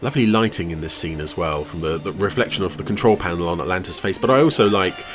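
A man's voice: low-pitched, fast, music in the background. A man giving casual, wry, jokey commentary on a TV episode as a podcast host.

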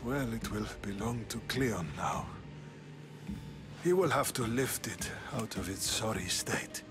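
An actor playing a frail, dying older statesman who speaks slowly and wearily. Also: fairly low pitch, music in the background, lightly acted. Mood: weary. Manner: slow, weary. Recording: game score playing behind.

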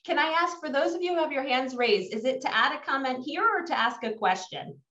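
A high-voiced woman with a New York accent leads an online workshop as a coach. She is emphatic and self-assured. The sound is roomy and distant, as on a video call. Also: expressive, fairly quick, bright, forceful tone. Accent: New York American. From New York, United States. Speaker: executive coach leading a workshop. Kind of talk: webinar presentation to participants. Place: indoor room on a video call. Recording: dull, roomy, distant webinar audio.

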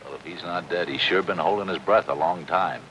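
An actor playing a cynical, world-weary, money-minded middle-aged deep-sea diver, wisecracking in a 1950s television crime drama. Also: male voice, a little fast, fairly bright tone, a little hiss or hum, low-quality recording. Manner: sardonic, wisecracking.

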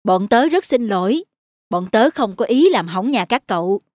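A woman voicing a calm, kindly grown elephant in a cartoon, gentle and courteous. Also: medium pitch, moderate pace, no room sound, natural voice. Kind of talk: cartoon dialogue acting.

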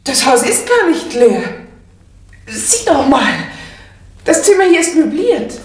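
An actress playing an uneasy, cautious wife in a 1960 German crime radio play. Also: female voice, high-pitched, very animated, slow pace, no echo, heavily acted. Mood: anxious, frightened. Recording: faintly noisy 1960 vinyl radio play.

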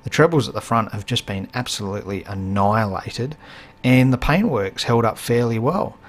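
A man's voice: mid-range voice, lively intonation, normal speed. A man in his thirties with an Australian accent, hosting a fishing channel in casual how-to vlog talk, plain-spoken with frequent fillers, filmed in his home workshop.

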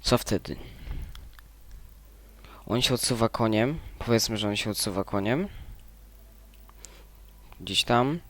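A young man streaming a chess game, thinking his moves through aloud in a low mutter, now and then breaking out in frustration. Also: slow pace, pauses often, a bit bright. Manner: muttering, mulling moves half to himself; bursts of frustrated outcry. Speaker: gaming streamer.

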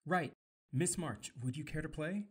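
An actor playing an earnest, soft-spoken young male tutor in an audio drama, formal and polite. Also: on the high side, measured pace, breathy edge. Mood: gentle. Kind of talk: radio play acting.

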